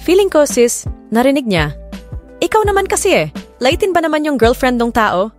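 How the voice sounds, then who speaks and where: A teasing young girlfriend in an audiobook, voiced by a woman with a high, bouncy voice that swoops up and down. She scolds in a gossipy way over soft music. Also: very animated, moderate pace.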